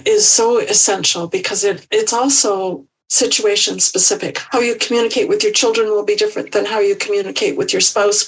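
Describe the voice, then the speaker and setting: Husky-voiced woman coach, gravelly. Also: medium pitch, far from the mic, rough recording. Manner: measured, thoughtful, with small restarts. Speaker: empowerment coach guest. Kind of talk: reflective interview answers.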